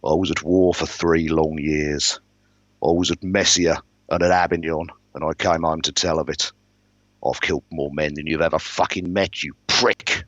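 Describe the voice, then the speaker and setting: A man's voice: rumbling low, fairly even intonation, moderate pace, a bit bright, no room sound, highs cut off. A man voicing a foul-mouthed, defiant gangster posing as a priest, blunt and menacing, in a fantasy novel reading.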